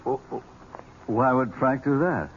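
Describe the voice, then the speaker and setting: Teasing uncle, mid-pitched voice, animated intonation, halting and wry, old radio drama, muffled noisy tape. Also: male voice. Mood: flustered, hesitant. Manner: halting, stammering, dryly teasing. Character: wry, teasing uncle, fond and awkwardly hesitant. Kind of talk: 1970s radio drama acting. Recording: dull, noisy 1970s radio recording.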